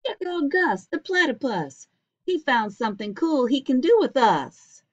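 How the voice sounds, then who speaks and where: Woman reading as a gentle, lively young cat. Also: high-pitched, unhurried, no echo, pitched above the performer's own voice, exaggerated voice. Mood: upbeat. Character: friendly shy young male cat, encouraging and upbeat. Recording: dull lo-fi webcam recording.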